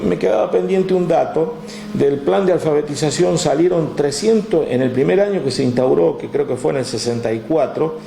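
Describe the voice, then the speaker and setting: A man with an Argentine accent, telling history in a relaxed chat, with many "este" fillers, reading out figures from a text. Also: a little high, moderate pace, vocal fry, roomy sound. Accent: Argentine Spanish. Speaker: guest storyteller. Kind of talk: conversational history talk.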